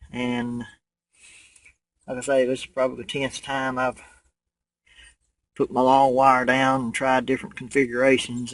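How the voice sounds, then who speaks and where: Man with an even, flat delivery. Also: mid-range voice, dry recording, poor audio. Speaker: radio hobbyist. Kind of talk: casual home video walkthrough. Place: outdoors in a wooded yard by a house. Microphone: handheld camera, held by the speaker.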